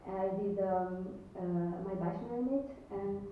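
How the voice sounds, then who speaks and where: Speaker from Algeria, woman, 30s, halting, fillers, laughs; recorded far off in an echoey hall, muffled. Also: medium pitch, a little hiss or hum. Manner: hesitant, many fillers, laughs often. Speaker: lighting designer giving a short talk. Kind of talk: timed slide presentation. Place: large echoey event space. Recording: distant, echoey, muffled event audio.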